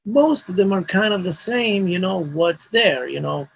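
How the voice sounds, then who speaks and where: A man's voice: high-pitched, lively intonation, a little slow, creaky, slightly rough, rough recording. A man, a Hebrew speaker, talking casually as an interview guest, rambling with restarts and frequent "you know" fillers. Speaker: mastering engineer guest.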